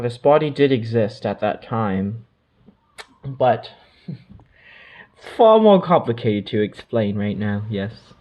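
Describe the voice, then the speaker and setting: A man giving a channeled spiritual monologue, speaking as otherworldly beings in a drifting, mystical way, with pauses and soft trailing asides. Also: medium pitch, highs cut off.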